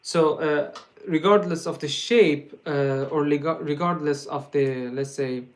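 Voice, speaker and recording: A man from Iran with a Persian accent, hosting a guitar livestream and answering viewer questions in a casual, halting way, full of fillers and restarts. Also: on the high side. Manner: laughs often, joking.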